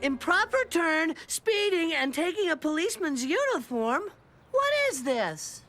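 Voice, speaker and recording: A woman's voice: extremely high pitch, big pitch swings, unhurried, fairly bright tone, exaggerated voice. A woman voicing a scheming, self-important little boy in a cartoon, cajoling and declaiming to his pals. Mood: indignant. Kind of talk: cartoon voice acting. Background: cartoon music.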